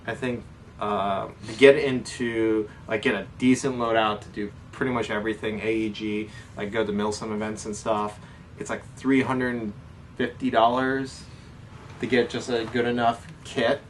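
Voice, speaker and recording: A man's voice: lowish voice, flat delivery, moderate pace. A man co-hosting a casual hobby chat, explaining things in an informal way. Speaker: co-host of a hobby show. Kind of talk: casual question-and-answer chat about airsoft. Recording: distant mic with faint background noise.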